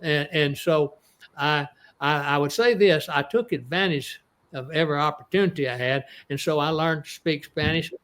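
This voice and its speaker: A man's voice: a little high, normal speed, fairly bright tone. A man in his eighties with an East Texas accent, an author telling stories in an interview, rambling with many restarts. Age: 80s. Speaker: author guest. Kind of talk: casual interview storytelling.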